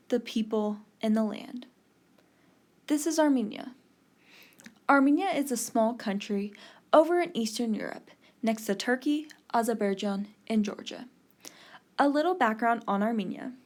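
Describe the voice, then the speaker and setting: Casting: young woman host from Texas, earnest read-aloud narration, a touch high, faint music underneath. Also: darkish tone. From Texas, USA. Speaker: podcast host. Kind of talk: scripted podcast narration.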